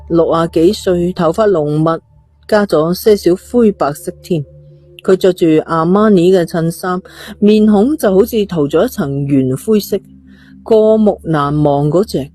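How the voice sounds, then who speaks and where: Lowish, mellow woman narrating a story. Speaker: audiobook narrator.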